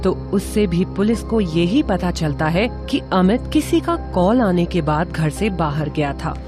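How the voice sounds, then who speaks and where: A woman narrates a scripted horror tale for a cartoon. Her voice sits a little low, with music clearly audible behind it. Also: lively intonation, measured pace.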